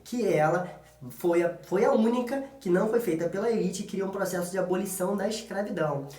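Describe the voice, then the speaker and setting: A man's voice: high voice, a little fast, a little mellow. A man with a Brazilian accent giving an informal history exam-prep lesson as a teacher, colloquial and often checking in with short tag questions. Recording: echoey room.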